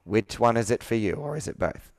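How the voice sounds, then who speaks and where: A man's voice: mid-range voice, lively intonation, a little fast, no echo, clean studio sound. A man in his thirties working as a sales coach, talking persuasively and assertively, with probing rhetorical questions. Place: indoor office with a flip chart, on a video call.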